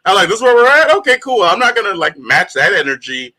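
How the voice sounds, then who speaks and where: A man co-hosting a casual podcast, talking in an animated way with frequent laughs and plenty of fillers. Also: high voice, fast. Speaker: podcast co-host. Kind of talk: casual chat.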